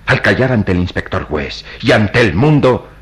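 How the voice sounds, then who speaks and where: An actor playing a grave, compassionate priest in a radio drama, speaking in solemn, oratorical phrases. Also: male voice, fairly low pitch, lively intonation, moderate pace, fairly bright tone, breathy edge, heavily acted. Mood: fervent, grave. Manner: solemn, oratorical, emphatic delivery. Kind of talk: melodramatic Latin American radio drama acting.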